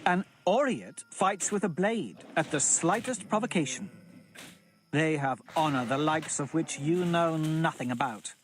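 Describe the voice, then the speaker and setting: Man doing a fussy tutor, prim, haughty, high voice, lilting scolds. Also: animated, measured pace, slight background noise. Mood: lecturing.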